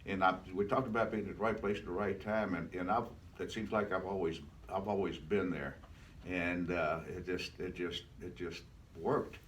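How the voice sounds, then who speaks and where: A man's voice: sits low, fairly even intonation, moderate pace, far from the mic, a little hiss or hum. An older man from Louisville, Kentucky, answering interview questions in a reflective, folksy way and repeating words for emphasis. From Louisville, Kentucky, United States. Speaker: retired charity founder, interview guest.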